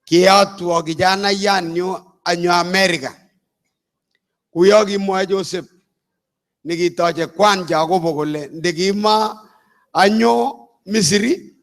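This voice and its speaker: An older man from Uganda, an apostle, preaching a sermon in slow, deliberate phrases. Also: high voice, fairly even intonation.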